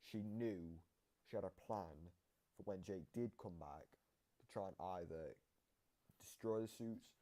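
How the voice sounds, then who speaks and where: A man hosting a reaction video, casually explaining game lore in a halting way that often trails off. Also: low voice, mellow.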